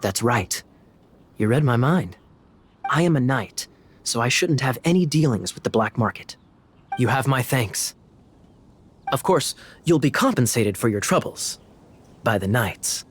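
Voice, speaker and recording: A man voicing a suave young knight in a video game, smooth and teasing in manner. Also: medium pitch, animated, unhurried, long pauses, a little hiss or hum, close to an everyday voice.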